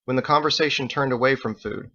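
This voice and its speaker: A man's voice: mid-range voice, quick pace, creaky, bright, forceful tone, dull audio. A man reading his own novel aloud as scripted audiobook narration.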